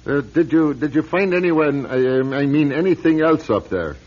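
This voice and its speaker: A man's voice: fairly high pitch, moderate pace, natural voice. Flustered priest played by a man in echoing space. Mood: dazed, sheepish. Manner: excitable, muttering, theatrical. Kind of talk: old-time radio drama acting. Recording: dull, noisy old radio recording, echoing hall.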